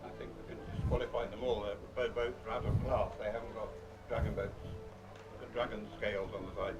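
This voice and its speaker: A man making offhand, dry, matter-of-fact remarks, picked up quietly away from the microphone. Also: on the low side, little pitch movement, fairly quick, poor audio. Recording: quiet, off-mic, faint music behind.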